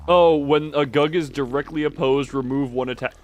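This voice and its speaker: A man giving casual live commentary on a game as he streams, thinking out loud and often breaking off mid-sentence. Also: fairly high pitch, fairly even intonation, moderate pace, slight background noise.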